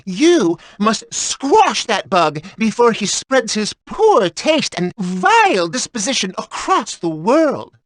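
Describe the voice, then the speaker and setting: A woman's voice: fairly high pitch, very animated, slow pace, hardly any pauses, no room sound, full character voice. A haughty aristocratic lady from a video game, peevish and indignant. Her pompous delivery rises and falls dramatically in a dry, close recording. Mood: peeved. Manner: pompous, flowery wording. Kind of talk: theatrical video game voice acting.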